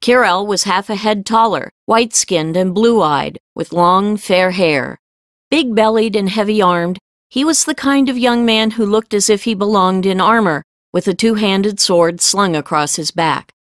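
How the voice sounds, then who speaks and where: A woman reading scripted fiction as an audiobook narrator, with measured storytelling delivery. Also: mid-range voice, no echo, studio quality.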